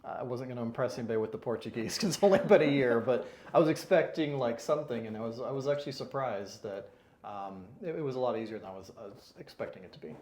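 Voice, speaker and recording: A man's voice: mid-range voice, fast, a little mellow. Man chatting hesitantly in an echoey room. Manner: hesitant, many fillers and restarts. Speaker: interviewed client. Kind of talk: casual interview answers. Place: consulting firm office.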